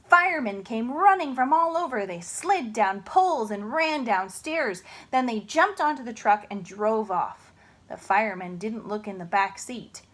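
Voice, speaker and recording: A woman reading a children's story aloud in an expressive, unhurried way, with chatty asides. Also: fairly high pitch.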